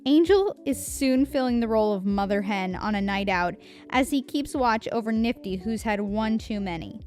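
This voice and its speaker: A woman's voice: a little high, animated, moderate pace, darkish tone. A woman reads a scripted recap narration in a playful, wry manner. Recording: music bed under the voice. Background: background music.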